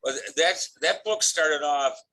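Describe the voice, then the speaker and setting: A man's voice: on the high side, unhurried, vocal fry, pushed and bright. A man with a Chicago accent, a guest author who mumbles a little and pokes fun at himself as he explains. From United States. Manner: mumbling, self-deprecating. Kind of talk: casual conversation.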